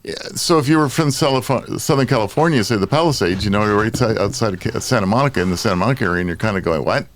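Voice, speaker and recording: A man's voice: fairly low pitch, racing, few pauses, a little hiss or hum. An older American man co-hosting a podcast in casual chat, joking and self-deprecating. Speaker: podcast co-host.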